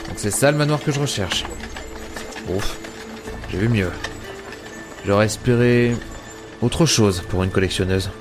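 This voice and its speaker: A man's voice: lowish voice, unhurried, long pauses, over music, natural voice. An actor playing a grumpy, world-weary male adventurer in an audio drama, sardonic and muttering. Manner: grumbling, sardonic, muttering to himself. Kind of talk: French audio drama acting.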